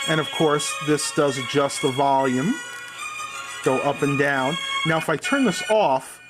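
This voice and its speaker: Man giving a casual gadget demonstration. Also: a little high. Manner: methodical, step-by-step explaining. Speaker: product reviewer.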